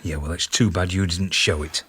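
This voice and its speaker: A man's voice: sits low, fairly even intonation, normal speed, fairly bright tone, breathy edge, full character voice. An actor playing a pragmatic, weary and exasperated male scientist in a radio drama. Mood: resigned, exasperated. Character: exasperated, pragmatic male colleague on research team.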